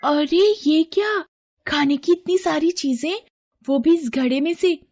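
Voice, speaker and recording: An excitable little girl, voiced by a woman, pitched high. She is nosy and eager to tattle, in a fairy-tale cartoon manner. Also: unhurried, a little hiss or hum. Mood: surprised, tattling.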